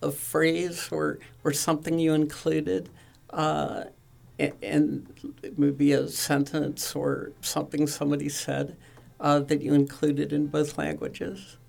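A man interviewing young comic book creators, speaking haltingly with many fillers and restarts. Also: a little high, monotone, gravelly, a little mellow. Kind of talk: radio interview.

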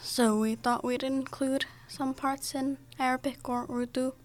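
A young woman giving brief, soft-spoken answers in an interview. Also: sits high, flat delivery, unhurried. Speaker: guest, comic book co-creator.

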